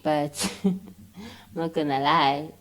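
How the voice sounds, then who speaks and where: A woman's voice: low voice, steady tone, slow pace. A South African woman talking casually and informally as an interview guest, mixing languages as she goes. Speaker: interview guest, filmmaker and content creator. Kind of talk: casual conversational interview, code-switching.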